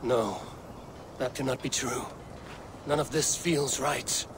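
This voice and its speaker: A man's voice: mid-range voice, steady tone, slow, noisy background, close to an everyday voice. A man playing a stern, defiant Viking warrior in a video game, with a grave and resolute delivery. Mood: troubled. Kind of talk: dramatic video game acting.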